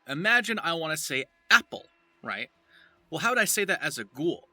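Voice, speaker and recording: Sharp, animated man giving casual tutorial. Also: on the high side, moderate pace, dry recording. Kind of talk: loose, casual instructional talk.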